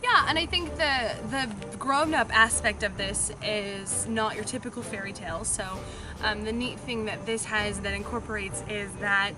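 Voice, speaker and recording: A woman's voice: medium pitch, steady tone, normal speed. A woman from a theatre cast giving a casual, conversational interview answer. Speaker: community theatre cast member. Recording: music behind the voice.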